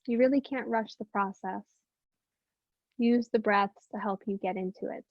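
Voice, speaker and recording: A woman teaching meditation, speaking gently and unhurriedly with long pauses in soothing guided instruction. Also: medium pitch, no room sound, muffled recording.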